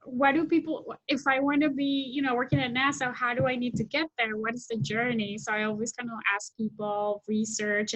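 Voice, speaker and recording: Woman from Colombia in her thirties, guest on a video call; a higher voice, eager, restarts often, dry sound. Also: dry recording, poor audio. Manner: enthusiastic, many restarts and fillers. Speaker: guest, technology scout. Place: home room with window, indoors. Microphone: laptop webcam mic on video call.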